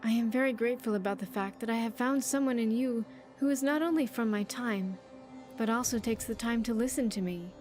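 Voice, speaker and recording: A high-pitched young woman, voiced by an actress, plays an earnest game companion. She talks in a sincere, chatty way with slightly formal wording, over soft background music. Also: a little fast, natural voice. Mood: reflective, grateful. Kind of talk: video game character dialogue.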